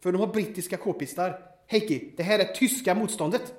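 A man's voice: sits high, close to an everyday voice. A man playing a squeamish, nervous amateur investigator in an audio drama. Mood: excited, insistent. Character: squeamish, queasy male amateur investigator, eager to conclude. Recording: roomy, echoing sound.

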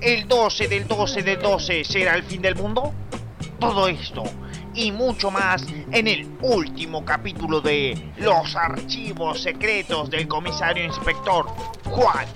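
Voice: medium pitch, slow pace, close to an everyday voice. A performer playing a melodramatic announcer, narrating a radio serial with dramatic, suspenseful delivery. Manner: dramatic rhetorical questioning. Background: music.

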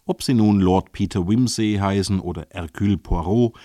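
A man presenting a scripted literary introduction on the radio in a measured, formal way. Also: on the low side, dark tone, no echo, clean studio sound. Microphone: close studio microphone.

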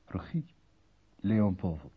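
A man announcing a radio play in a scripted 1970s broadcast style, with a husky voice. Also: sits low, big pitch swings, takes it slowly. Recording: dull vintage radio recording.

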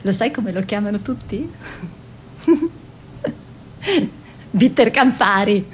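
Radio drama: gossipy, impulsive painter's wife, tender scold, theatrical, slightly high pitch, light background rumble. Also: female voice, expressive, drawn-out words. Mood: gossipy, giddy. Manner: theatrical, shifting between exclamations and soft musing. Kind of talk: Italian stage-style radio drama.

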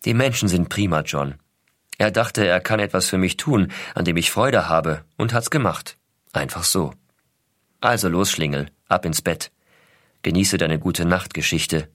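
A man playing an affectionate astronaut father, reading his letters in a measured, reflective way. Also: low-pitched, a bit bright, no room sound, studio quality. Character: male American astronaut, affectionate father writing to small son. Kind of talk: scripted first-person letter narration.

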